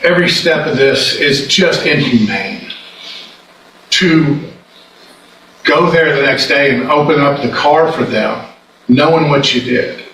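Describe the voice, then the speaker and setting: A man speaks as a prosecutor, pressing his case with emphatic condemnation. His mid-pitched voice rings heavily around the room, picked up away from the mic with clear background noise. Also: slow pace, pauses often, fairly bright tone. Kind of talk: courtroom closing argument. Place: indoor courtroom. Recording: echoey courtroom, distant mic, background noise.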